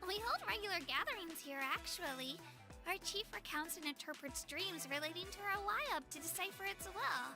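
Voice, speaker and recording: Very high, girlish young adventurer. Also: lively intonation, moderate pace. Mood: earnest. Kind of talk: video game voice acting. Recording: music behind the voice.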